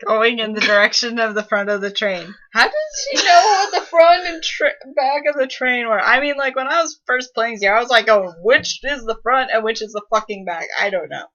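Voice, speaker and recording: A woman's voice: a little high, lively intonation, fairly quick, hardly any pauses, a bit bright, muffled recording. A woman chatting casually as a co-commentator, blunt and sweary, reacting and making fan corrections. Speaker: co-commentator on a fan fiction reading.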